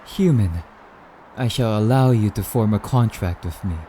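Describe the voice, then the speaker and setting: A man's voice: lowish voice, moderate pace, warm tone, a little hiss or hum, natural voice. A man playing a courtly, wistful male vampire in an ASMR roleplay, speaking in a musing, slightly archaic, haughty yet polite manner. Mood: delighted, hungry. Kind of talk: ASMR roleplay monologue addressed to listener.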